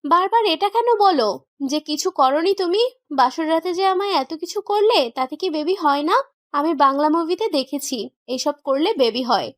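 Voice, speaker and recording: A woman's voice: high voice, lively intonation, normal speed, no room sound, studio quality. A young woman playing a naive, indignant newlywed wife in a romantic audio story, pouty, stubborn and protesting. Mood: naive, insistent.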